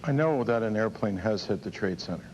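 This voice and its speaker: Male controller interviewee, serious recollection, slightly low voice on dull, noisy audio. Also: expressive, a little fast, a little mellow. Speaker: air traffic controller, interviewee.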